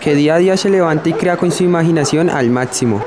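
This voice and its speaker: Casting: actor as cheeky, earnest young male Colombian muralist, lightish pitch, radio drama, noisy street setting. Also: fast talker, lightly acted. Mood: explaining. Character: defiant young male graffiti painter, sarcastic, earnest. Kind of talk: scripted radio sketch acting. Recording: noisy street ambience with vehicles.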